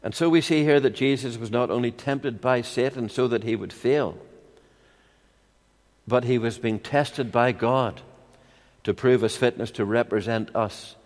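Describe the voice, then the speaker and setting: An older man with a Northern Irish accent preaching a sermon in a measured, expository way, with rhetorical questions and personal anecdotes. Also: medium pitch, darkish tone. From Ireland. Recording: long hall reverb.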